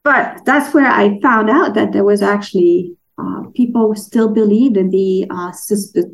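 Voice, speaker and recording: A woman chats as an interview guest, telling stories in a halting, animated way. Her voice sits in a middle register with plenty of fry. She sounds a little distant from the microphone. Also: medium pitch. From Denmark. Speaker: interview guest, children's book author. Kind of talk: casual anecdotal storytelling in an interview.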